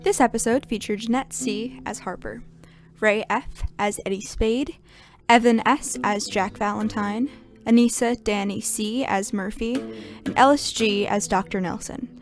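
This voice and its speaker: A woman's voice: a little high, fairly even intonation, unhurried, soft background music. Woman reading scripted podcast credits. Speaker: credits announcer. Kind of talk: scripted narration.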